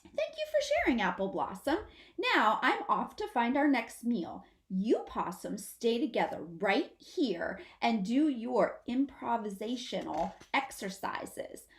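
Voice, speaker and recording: A woman's voice: fairly high pitch, very animated, slow pace, full character voice. A woman voicing a patient, protective mother possum who instructs her young in a children's storybook. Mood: encouraging. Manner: instructive, emphatic. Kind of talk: children's storybook read-aloud. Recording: roomy, echoing sound.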